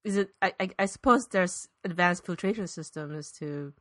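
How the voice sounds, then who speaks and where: A woman's voice: on the low side, normal speed, a bit bright, no room sound, clean studio sound. A woman interviewing in an improvised comedy piece, playing it straight and often hesitantly repeating her words.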